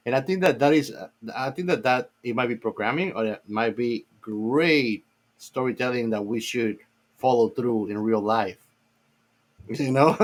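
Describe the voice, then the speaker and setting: Slangy man with mid-pitched voice. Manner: informal, slangy, repeats phrases for emphasis. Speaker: podcast co-host. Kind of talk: casual film discussion.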